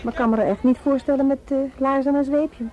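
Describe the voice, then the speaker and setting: An actress playing a nosy, sharp-tongued woman in a radio drama, who probes and teases. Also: sits high, steady tone, fast, muffled recording, lightly acted. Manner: probing, teasing, sarcastic.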